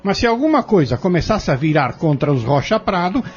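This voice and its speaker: Casting: male actor for cornered defendant in radio play, indignant, insistent, lively high-set voice; muffled audio over music. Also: fairly quick. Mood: indignant, accusing. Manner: argumentative, rhetorical, insistent. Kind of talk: audio drama acting.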